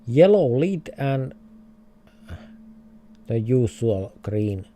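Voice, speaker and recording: A man's voice: mid-range voice, very animated, very slow, mellow, a little hiss or hum. A man with a Finnish accent, streaming a geography game and thinking aloud in hesitant, chuckling English. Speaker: livestreamer. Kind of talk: casual game livestream commentary.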